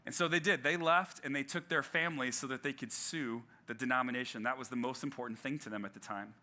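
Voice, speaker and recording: Bright-voiced, chatty male pastor. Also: medium pitch, fairly even intonation, quick pace. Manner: conversational, with frequent fillers and restarts; self-deprecating humor. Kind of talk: sermon.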